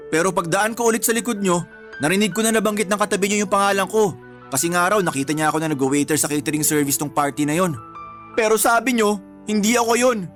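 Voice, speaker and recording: An actor with a Filipino accent playing an aggrieved grown son, pleading and indignant, in radio drama style. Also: male voice, high-pitched, a bit bright. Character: hurt, aggrieved grown son, a working-class waiter. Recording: faint music bed.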